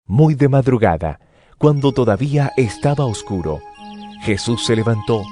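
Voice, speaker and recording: Animated male Bible narrator over music. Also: mid-range voice, normal speed. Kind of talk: scripted dramatized Bible narration. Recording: music bed behind the voice.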